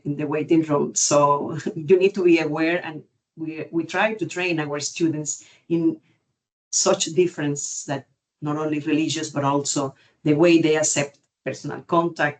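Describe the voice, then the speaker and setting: A woman from Cuba with a Cuban Spanish accent, speaking as an expert panellist in an unscripted webinar, searching for words in halting non-native phrasing. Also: low-pitched, low-quality recording. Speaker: genetic counselling expert and panellist. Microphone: video call.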